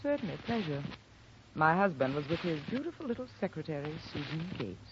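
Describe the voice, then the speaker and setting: Haughty widow, very animated, old radio. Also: female voice, sits low, fairly quick. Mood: sharp, defiant. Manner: imperious, clipped, theatrical. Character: haughty, short-tempered well-off widow under suspicion. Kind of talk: 1940s radio drama acting. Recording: noisy, dull 1940s radio recording.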